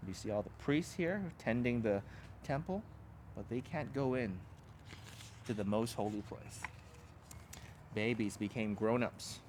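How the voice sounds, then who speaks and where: Guy reading a picture book to little kids, super animated and dramatic, some background noise behind him. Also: medium pitch. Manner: dramatic, simple emphatic phrasing for small children. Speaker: pastor reading a children's storybook. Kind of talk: expressive storybook read-aloud with asides to young listeners.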